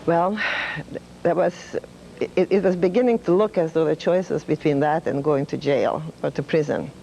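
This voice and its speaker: Older Hungarian-accented woman, retired professor, gravelly, measured reminiscing. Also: low voice, lo-fi sound, slight background noise. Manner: measured, with fillers and soft laughs. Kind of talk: reflective storytelling.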